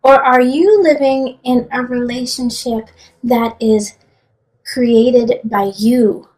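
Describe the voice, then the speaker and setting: Female tarot reader, higher-pitched, conversational and encouraging, emphatic when advising the listener. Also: steady tone, takes it slowly, a little mellow. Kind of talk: conversational tarot reading with advice.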